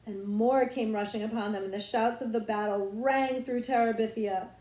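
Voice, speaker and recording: A woman narrates a children's novel with dramatic expression. Her voice is soft-toned and fairly high. The recording has a noticeable room echo. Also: fairly even intonation, normal speed. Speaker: audiobook reader, librarian. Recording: roomy echo.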